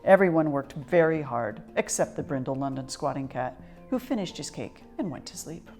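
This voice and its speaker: A woman reading a children's picture book aloud as a storyteller, with expressive, measured delivery. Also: sits low, soft background music.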